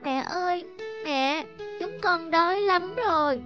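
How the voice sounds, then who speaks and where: A woman voicing a hungry baby stork chick in an animated fable, pleading in a drawn-out whine. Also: extremely high pitch, muffled recording. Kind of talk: animated moral fable voice acting. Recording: music behind the voice.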